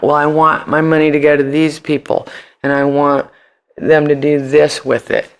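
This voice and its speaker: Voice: mid-range voice, clear, resonant tone. A man being interviewed on a podcast, chatting casually and animatedly in loose slang with free swearing. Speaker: podcast guest.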